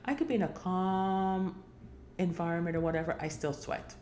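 A woman's voice: fairly low pitch, moderate pace, darkish tone, roomy sound, a little hiss or hum. A woman in her forties hosting a casual solo podcast, chatty and rambling, with many fillers and restarts. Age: 40s. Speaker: podcast host.

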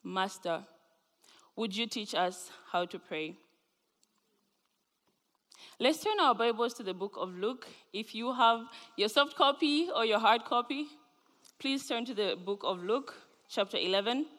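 A woman's voice: fairly high pitch, expressive, unhurried, long pauses, a bit bright. A young woman from Zambia, a senior student, giving an earnest chapel sermon with light laughs. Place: large chapel hall. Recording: live chapel hall with long reverb.

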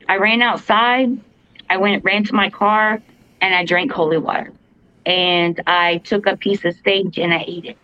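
A woman with a fairly deep voice, earnestly telling a story as a podcast co-host, halting with fillers and restarts. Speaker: podcast co-host, paranormal investigator. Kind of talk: casual storytelling. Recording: dull lo-fi podcast audio, dry.

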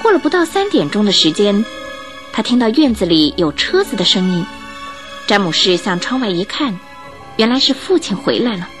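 Female radio-drama narrator, mid-pitched and very animated, measured storytelling with music underneath.

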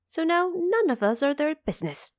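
Woman playing a boastful, animated monkey king. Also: high voice, normal speed, pitched above the performer's own voice. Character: Monkey King, boastful male monkey immortal recounting his exploit.

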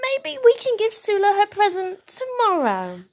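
A storybook bunny boy, played by a woman, small, eager and a bit wistful. The voice is high and childlike, swinging freely up and down, with earnest little hesitations. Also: wildly swooping pitch, a little slow, exaggerated voice.

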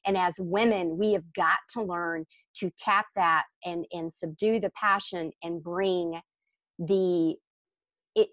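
A woman's voice: lowish voice, fairly even intonation, slow, a bit bright, no echo, highs cut off. Earnest, emphatic woman, closely recorded. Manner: earnest, emphatic, rephrasing as she explains. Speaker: podcast guest. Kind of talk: conversational interview.

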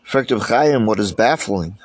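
A man's voice: lowish voice, animated, unhurried, dry recording. A man with a congested voice from a head cold, reading a religious text aloud and explaining it as a teacher. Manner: reads aloud, then explains in plain words, with Hebrew terms mixed in. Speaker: Torah teacher.

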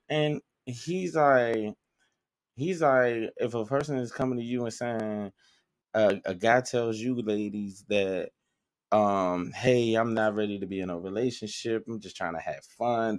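A man's voice: lowish voice, a little slow. A man co-hosting a podcast in casual chat, animatedly retelling what others said. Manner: animated storytelling, quoting others. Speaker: podcast co-host.

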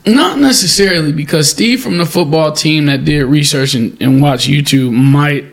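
A man's voice: fairly high pitch, normal speed, creaky. A man hosting a podcast, explaining his ideas in a loose, conversational way with lots of "like" and "you know.". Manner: loose, conversational, many fillers. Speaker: podcast host. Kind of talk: casual podcast talk.

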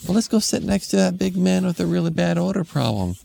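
A man doing an overly cheerful mother who coos and croons everything. The voice is lifted a little from his own, lively and swooping, with a mellow, gravelly texture. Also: sits high, a little fast, a little hiss or hum, pitched above the performer's own voice, full character voice. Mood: sing-song. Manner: sing-song, mock-enthusiastic. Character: sugary mother, falsely cheerful about waiting.

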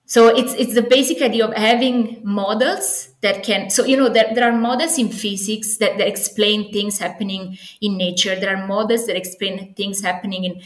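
A woman's voice: mid-range voice, moderate pace. Echoey Italian woman, conversational and enthusiastic. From Naples, Italy. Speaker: podcast guest, assistant professor. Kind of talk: conversational interview answers. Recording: echoey, roomy sound.

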